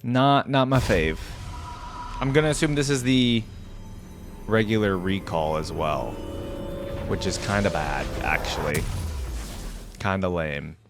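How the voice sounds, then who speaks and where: A man's voice: low-pitched, unhurried, long pauses, music in the background. A man giving casual, off-the-cuff reaction commentary as a video host, thinking aloud in an informal, exclamatory way.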